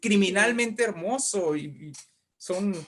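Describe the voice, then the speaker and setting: A man with a fairly high voice speaks as an interview guest. He is a writer telling literary anecdotes conversationally, effusive and emphatic, often repeating his words for weight. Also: slow, a bit bright.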